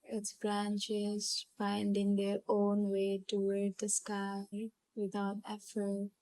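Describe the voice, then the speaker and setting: Woman, hypnotic guided meditation, calm, unhurried, long pauses, even intonation, close dry sound. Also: medium pitch, no room sound. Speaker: hypnotherapy channel host and guide. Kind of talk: guided visualisation, gentle hypnotic storytelling.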